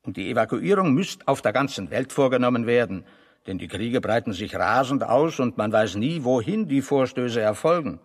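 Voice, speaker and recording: A man acting in a German radio play as a pedantic, ironic intellectual, speaking deliberately and mock-seriously. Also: mid-range voice, expressive, in a large hall. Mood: solemnly ironic.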